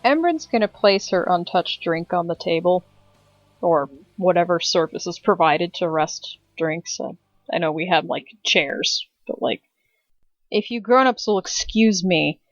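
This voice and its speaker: A woman's voice: lowish voice, animated, measured pace, no room sound, muffled recording, faint music behind. A woman playing a tabletop game, casually improvising narration of what her character does.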